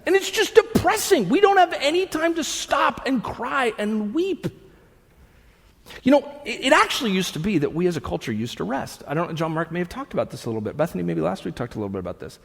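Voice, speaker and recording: A man in his thirties, a pastor preaching a sermon to a room, conversational with asides, swinging from loud bursts to hushed lines. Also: high voice, big pitch swings, fast, creaky. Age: 30s. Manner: conversational with frequent restarts and asides. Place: church hall with an audience. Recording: long reverb of a large hall.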